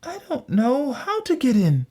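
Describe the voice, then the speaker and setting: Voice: high voice, wildly swooping pitch, measured pace, mellow, pitched above the performer's own voice, heavily acted. A storyteller voicing an earnest little girl in a fairy tale, crying out in a plaintive way. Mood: timid, sly. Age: child.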